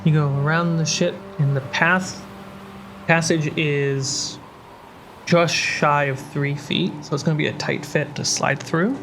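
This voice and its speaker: Male game master, slightly high pitch, slow descriptive delivery in broken phrases. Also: fairly even intonation, noisy background. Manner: descriptive, pausing between short phrases. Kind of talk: narrating a tabletop role-playing game. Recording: prominent music bed behind voice. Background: background music score.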